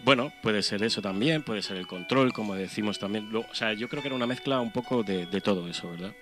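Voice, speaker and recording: A man's voice: fairly low pitch, fast talker, music in the background. A man with an accent from Spain co-hosting a casual radio show in a hesitant, rambling way. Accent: Spanish (Spain).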